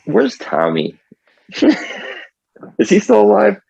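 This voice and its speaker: A man's voice: high-pitched, wildly swooping pitch, slow, creaky, darkish tone, slightly rough, dry recording, dull audio. A man in his thirties, a podcast guest chatting casually, rambling with many restarts and laughing often. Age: 30s. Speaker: podcast guest, band member. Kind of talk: casual chat.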